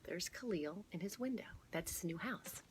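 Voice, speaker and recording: A woman hosting a children's storytime, reading aloud and pausing to explain and point things out to her listeners. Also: fairly low pitch, expressive, slight background noise. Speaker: storytime host at a museum garden. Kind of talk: read-aloud storytime for children with chatty asides.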